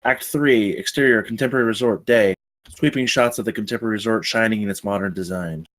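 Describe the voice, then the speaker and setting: A man's voice: lowish voice, fast talker, fairly bright tone. A man narrating stage directions from a screenplay at a table read.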